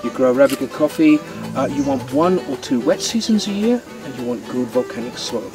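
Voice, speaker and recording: A man's voice: mid-range voice, animated, brisk, recorded from a distance, over music. A man guiding a tour of his coffee farm, patiently explaining step by step with the odd filler word, recorded outdoors. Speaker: coffee farm owner and guide.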